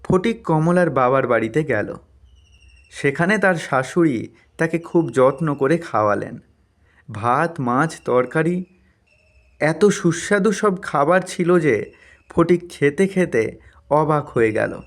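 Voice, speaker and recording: Male storyteller, scripted cartoon narration, unhurried, slightly high voice. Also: lively intonation.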